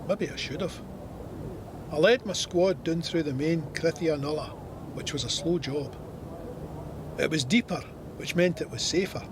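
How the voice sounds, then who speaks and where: An actor playing a stoic Scottish First World War soldier, narrating his memoir in a measured, matter-of-fact way. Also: on the high side, noisy background. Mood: wry, weary. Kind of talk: scripted memoir narration in an audio drama.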